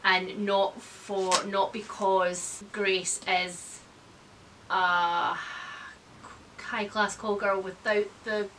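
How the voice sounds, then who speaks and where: A woman's voice: medium pitch, flat delivery, drawn-out words, a bit bright, a little hiss or hum, poor audio. A woman in her thirties hosting a casual, opinionated book chat, speaking in a blunt, wry way with some mild swearing. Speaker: book reviewer, video host. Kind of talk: casual chatty book discussion. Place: indoors, room lined with bookshelves.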